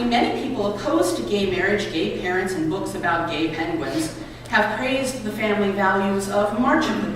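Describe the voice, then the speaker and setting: Woman, read-aloud delivery, mid-pitched, distant mic, noisy room ambience. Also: very echoey, big-room sound. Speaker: reader at a public read-aloud event. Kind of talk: reading a children's book and an essay aloud. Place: college building lobby. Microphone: distant microphone.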